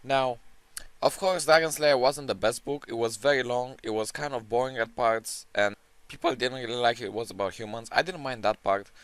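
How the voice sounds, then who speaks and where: A man talks through his book rankings in an offhand, rambling way, his voice hoarse and strained. He is opinionated and often restarts his sentences. His pitch sits in a middle range, and the recording is dry and close, with no room sound. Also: normal speed, a bit bright, dry recording. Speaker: book-ranking video creator. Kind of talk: casual unscripted commentary.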